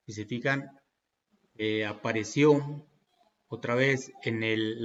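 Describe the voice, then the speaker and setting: A man's voice: medium pitch, dull audio. A man teaching programming in a tutorial, walking through each step patiently with fillers and self-corrections. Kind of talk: screen-recorded tutorial walkthrough.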